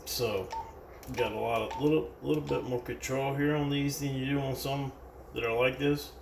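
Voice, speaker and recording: Male American product reviewer, casual and unscripted, creaky voice, picked up from a distance. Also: medium pitch, fairly even intonation, normal speed. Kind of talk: casual hands-on product demonstration. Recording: roomy, distant mic with background noise.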